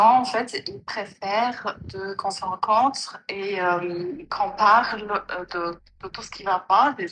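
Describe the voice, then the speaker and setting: A woman's voice: medium pitch, pushed and bright, a little hiss or hum, poor audio. A Moroccan woman in her thirties calling in to a live stream, telling her story hesitantly. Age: 30s. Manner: hesitant, full of "euh" and restarts. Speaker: caller. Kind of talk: casual phone-in storytelling.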